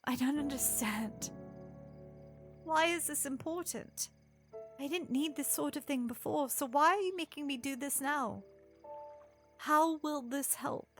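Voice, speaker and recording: A woman acts out a young princess with a very high voice, proud and stubborn. Her speech is formal and wordy, as in a fantasy audio play, and quick to sound exasperated. Music plays behind her. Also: a bit bright. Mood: frustrated, humbled. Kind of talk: scripted audio drama acting.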